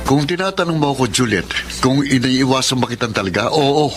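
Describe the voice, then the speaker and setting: A man's voice: mid-range voice, animated, brisk, loud music behind the voice, close to an everyday voice. A man playing a stern, troubled husband in a Filipino radio drama, speaking firmly and indignantly with melodramatic weight. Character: stern, troubled married man resisting a temptress. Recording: noisy radio drama recording.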